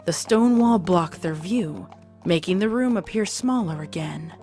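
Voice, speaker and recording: A woman reads scripted fiction as the narrator. Her pitch sits on the low side for a woman, and music plays behind her. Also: expressive, a little slow, warm tone. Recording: music under the voice.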